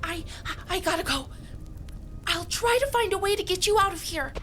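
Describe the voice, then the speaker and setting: A woman's voice: extremely high pitch, animated, moderate pace, bright, forceful tone, a little hiss or hum, exaggerated voice. A woman voicing a timid, kind young magical creature in a family audio drama, hesitant and earnest. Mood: hurried, anxious. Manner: stammering, hesitant.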